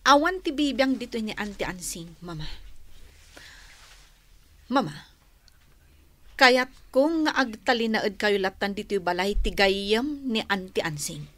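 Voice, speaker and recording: A woman's voice: medium pitch, expressive, a little slow, long pauses, a bit bright, no echo. A woman playing a frank, stubborn daughter in an Ilocano radio drama, earnest and emotional. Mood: upset. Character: frank, stubborn grown daughter standing up to mother.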